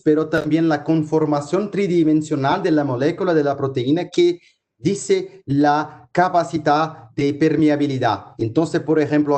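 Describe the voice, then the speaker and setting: A man's voice: on the high side, dark tone. Man lecturing. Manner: halting, many restarts and fillers while explaining slides. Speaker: physician lecturer. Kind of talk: medical conference lecture.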